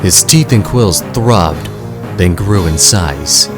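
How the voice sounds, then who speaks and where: A man's voice: deep voice, expressive, slow pace, over music. A man narrating a scripted audio drama in a dramatic storytelling manner.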